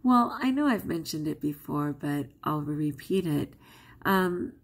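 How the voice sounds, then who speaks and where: A woman's voice: deep voice, animated, measured pace, gravelly, slightly rough. A woman, not a native English speaker, talking candidly to her camera in a chatty vlog. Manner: candid and chatty, with frequent laughs. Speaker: vlogger. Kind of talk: casual vlog talk to camera.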